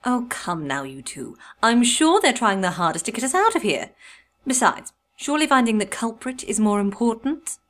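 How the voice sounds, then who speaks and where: A woman's voice: on the high side, sing-song, moderate pace, fairly bright tone. A woman voicing a genteel, placating socialite pony in an audio drama. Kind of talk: audio drama character acting.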